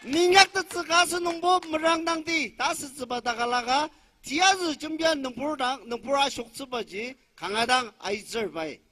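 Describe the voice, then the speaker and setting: A man's voice: extremely high pitch, normal speed, pushed and bright. A man interpreting at a rally, declaiming emphatically phrase by phrase. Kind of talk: loud public speech, translating phrase by phrase.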